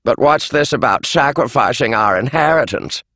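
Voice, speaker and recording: A man's voice: mid-range voice, lively intonation, moderate pace, bright, forceful tone, no room sound, pitched above the performer's own voice. A man voicing a petulant older aunt complaining about her inheritance. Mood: indignant. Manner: whiny, indignant. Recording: dry studio audiobook.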